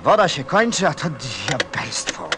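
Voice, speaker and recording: An actor playing a busy, irritable stranded mechanic in a radio play, muttering and impatient. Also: male voice, medium pitch, sing-song, a little slow, heavy background noise. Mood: irritated, distracted. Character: busy, irritable stranded man tinkering with machinery.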